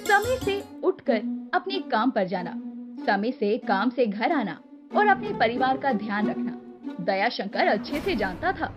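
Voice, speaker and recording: A woman reading scripted narration for a cartoon village story. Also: sits high.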